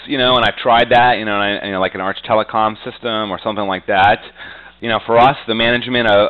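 Low-voiced man, blunt interviewee. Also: fairly bright tone, poor audio. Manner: frequently punctuates talk with "you know". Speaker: real estate agent being interviewed. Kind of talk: conversational business interview.

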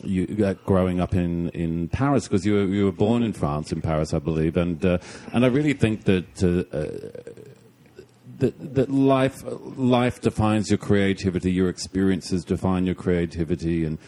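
Low, mellow podcast host from Australia. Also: male voice. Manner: halting, repeats words when asking questions. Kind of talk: casual interview.

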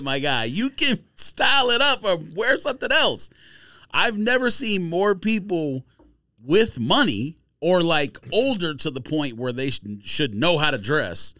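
An American man with a slightly high, bright voice in casual podcast debate. He pushes his points emphatically in slang, with lively intonation. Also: unhurried, no echo. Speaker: podcast co-host.

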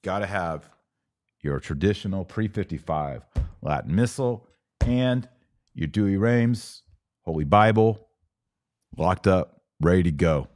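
A man's voice: low voice, animated, slow, long pauses, a little mellow, no room sound, studio quality. An American man hosting a podcast, talking to listeners in an emphatic, didactic way that leans into preaching, repeating phrases for emphasis. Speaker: podcast host. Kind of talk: live chat with listeners turning into preaching.

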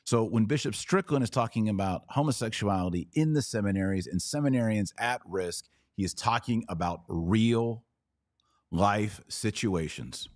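Male podcast host, low voice in a dry, close space, emphatic informal commentary. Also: moderate pace, no room sound. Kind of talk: informal commentary on a played clip.

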